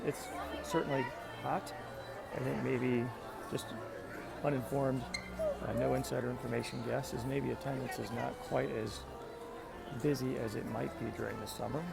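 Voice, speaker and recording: Male walking-stream host, mid-pitched and heavy on gravel, friendly off-the-cuff commentary, recorded outdoors with park music. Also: fairly even intonation, normal speed, over a noisy crowd. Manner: friendly, greets viewers by name. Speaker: livestream host. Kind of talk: casual walking livestream commentary, reading out viewer comments. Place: outdoors in a busy theme park. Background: background music.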